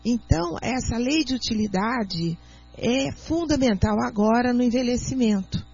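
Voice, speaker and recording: A Brazilian woman speaking as a doctor and guest on a radio talk show, explaining in an earnest, teacherly way and sometimes reading aloud slowly. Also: a little high, lively intonation, gravelly, a little mellow, slightly rough. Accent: Brazilian Portuguese. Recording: muffled lo-fi radio audio.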